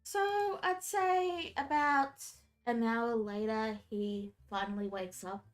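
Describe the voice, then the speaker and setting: A woman's voice: fairly high pitch, takes it slowly, soft background music. A woman game master narrating a tabletop game casually, sounding a little congested from a cold. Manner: casual, relaxed narration with fillers.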